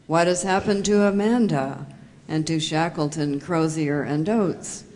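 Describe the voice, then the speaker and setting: Female narrator, slightly low register, unhurried and solemn, scripted dramatic reading. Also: a little mellow.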